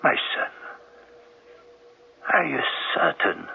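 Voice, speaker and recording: An actor in a radio drama playing a gentle priest who soothingly counsels and questions a troubled man. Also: slow, slight background noise, close to an everyday voice. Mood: consoling. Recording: dull old radio broadcast.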